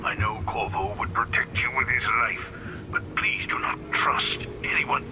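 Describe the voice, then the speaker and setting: A man's voice: mid-range voice, a little slow, background noise, natural voice. An older man playing a grave, loyal old inventor speaking formally in a video game. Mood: ominous, regretful. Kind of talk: dramatic game acting, letter-like monologue.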